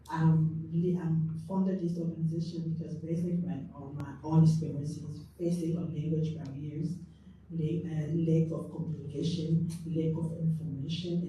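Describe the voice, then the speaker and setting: A woman from Africa, founder of a nonprofit, introducing herself on a panel with careful, halting phrasing. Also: deep voice, dark tone, a little hiss or hum. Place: large room at a public forum. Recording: echoey room, distant mic.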